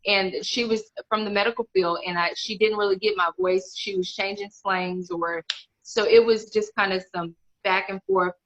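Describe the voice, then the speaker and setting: Bright-voiced woman on a lo-fi call. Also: medium pitch, steady tone, moderate pace. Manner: candid, rambling, many restarts. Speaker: guest author. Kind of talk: casual interview chat. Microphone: video call.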